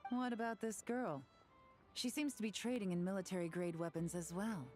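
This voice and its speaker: A woman's voice: medium pitch, fairly even intonation, moderate pace, music in the background, natural voice. A woman voicing a cool, shrewd, self-assured female investigator in a video game, composed and knowing. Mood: suspicious, measured.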